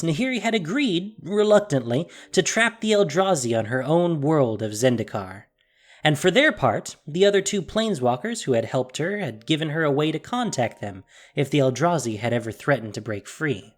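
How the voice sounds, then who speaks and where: A woman narrating a fantasy story in a solemn, emphatic, dramatic scripted style. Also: deep voice. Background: music.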